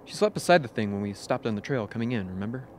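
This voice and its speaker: Guy doing a bitter expedition crewman, low voice, radio drama with some noise. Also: expressive, fast talker, lightly acted. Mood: bitter, brooding. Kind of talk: amateur radio play acting.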